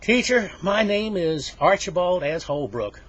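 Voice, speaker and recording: A man voicing a new schoolboy who gives a loud, deliberate, rhythmic syllable chant. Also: high voice, animated, pitched above the performer's own voice. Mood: loud, determined. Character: cocky new schoolboy nervously attempting a spelling chant. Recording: dull, noisy lo-fi recording.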